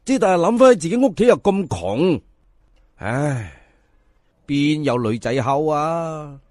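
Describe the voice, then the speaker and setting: Animated Cantonese male storyteller. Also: a little high, dry recording, studio quality. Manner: theatrical, addresses listeners, drawn-out emphasis. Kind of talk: colloquial folk-tale narration.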